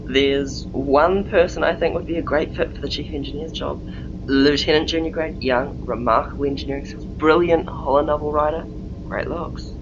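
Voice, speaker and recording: An actor playing an easygoing, slightly cocky young engineering lieutenant in a science fiction audio drama. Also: male voice, fairly high pitch, fairly even intonation, moderate pace, lightly acted. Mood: cheeky. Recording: muffled audio with clear background noise.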